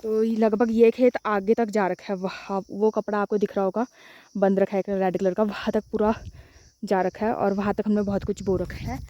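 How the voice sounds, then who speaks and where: A woman's voice: mid-range voice, flat delivery, normal speed, dark tone, no room sound, dull audio. A young woman narrating a casual village vlog, explaining things to viewers and repeating herself. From Uttarakhand, India. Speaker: village vlogger.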